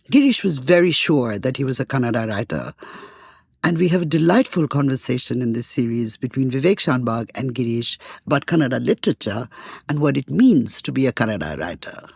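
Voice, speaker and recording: Creaky-voiced woman narrating, close and dry. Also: a bass voice, expressive, moderate pace, a little mellow, dry recording. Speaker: podcast host. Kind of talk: scripted conversational narration.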